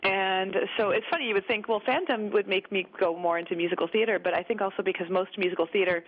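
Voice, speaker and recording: A woman, a guest opera singer, telling stories in a chatty, animated way during a radio interview. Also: medium pitch, quick pace. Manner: chatty, animated, laughs easily. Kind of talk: radio interview, casual storytelling. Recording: slightly muffled radio broadcast.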